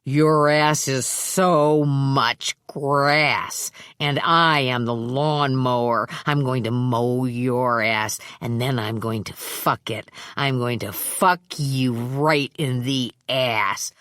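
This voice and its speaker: Slow drawling creepy henchman guy taunting, woman reading it, dry close sound. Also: very deep voice, fairly even intonation, fairly bright tone, smooth, no echo, pitched below the performer's own voice, heavily acted. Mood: crude taunting. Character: crude brutish male henchman, whiny and cruel.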